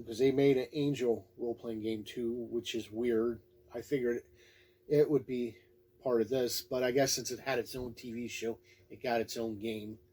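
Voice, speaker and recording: A man's voice: medium pitch, warm tone, slightly rough. A man giving a casual, unscripted review in a folksy, plain-spoken, laid-back way. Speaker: tabletop game book reviewer.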